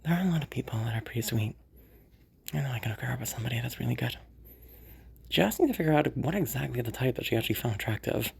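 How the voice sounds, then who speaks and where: A woman as a sociopathic rich schemer, husky gravelly voice, low and muttering, cursing under her breath. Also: lively intonation, fast talker, darkish tone, heavily acted. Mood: calm scheming. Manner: muttering to herself, swearing often. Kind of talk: audio roleplay monologue.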